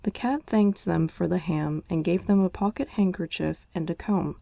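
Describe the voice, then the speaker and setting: A woman reading a bedtime story in an ASMR girlfriend roleplay, speaking softly and chatting to the listener, close to the mic. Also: on the low side, moderate pace, creaky, no echo. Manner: soft, chatting to the listener between lines.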